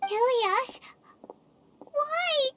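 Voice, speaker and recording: A woman voicing a tiny, excitable, childlike fairy companion in a video game, squeaky, earnest and exclamatory. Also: drawn-out words, heavy background noise, full character voice. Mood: shocked. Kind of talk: cartoonish video game voice acting. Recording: background music behind the voice.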